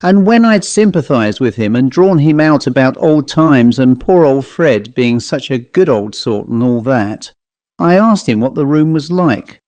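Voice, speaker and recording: A man's voice: mid-range voice, expressive, a little slow, a little mellow, no echo, natural voice. A man reading in character as a chatty, genial commercial traveller telling an anecdote in colloquial style for an audiobook ghost story. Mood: confiding, reminiscing. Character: dapper commercial traveller, chatty male raconteur of ghost stories.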